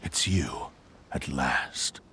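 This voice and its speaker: A man's voice: extremely low pitch, lively intonation, drawn-out words, sharp tone, a little hiss or hum, full character voice. A man voicing a possessed, brooding and menacing swordsman in a video game. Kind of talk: video game character acting.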